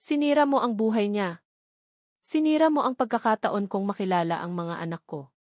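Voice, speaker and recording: A woman's voice: mid-range voice, normal speed, a little mellow, dry recording, clean studio sound, close to an everyday voice. A woman voicing a wounded, angry wealthy son in an audio drama, confrontational and demanding. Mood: anguished.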